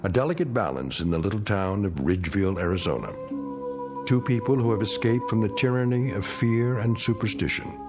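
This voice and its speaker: A man giving scripted, measured, portentous narration for a mystery anthology radio drama. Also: fairly low pitch, animated. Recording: music behind the voice. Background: music.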